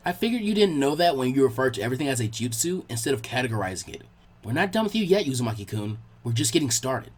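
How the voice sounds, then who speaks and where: A man's voice: mid-range voice, very animated, quick pace, slightly rough, voice pushed down. A man voicing a polite, nerdy teenage girl tutor who explains things in an encouraging, slightly awkward way. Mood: instructive.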